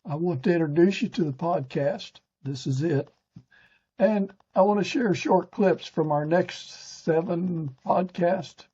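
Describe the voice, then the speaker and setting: A very husky older man hosts a podcast in a dull, lo-fi recording. His voice sits a little high and he reads his script in a stiff, halting manner, with a soft rather than bright tone. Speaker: podcast host. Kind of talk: scripted narration read from a teleprompter. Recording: dull, lo-fi sound.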